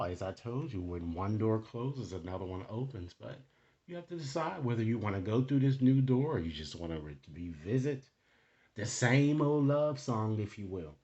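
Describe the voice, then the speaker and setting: A man hosting a tarot reading, giving spiritual guidance straight to the listener in a deliberate, emphatic manner. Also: on the low side, darkish tone, lo-fi sound. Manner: deliberate, emphatic, with frequent check-in tags like "okay?". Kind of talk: spiritual guidance reading, addressing viewers directly.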